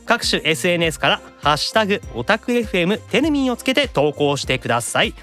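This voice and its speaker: A man's voice: high voice, very animated, fast talker, bright, forceful tone, soft background music. A man hosting a radio show in Kansai-accented Japanese, moving between scripted reading and casual chat, with eager, agreeing responses. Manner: frequent eager backchannels and agreeing.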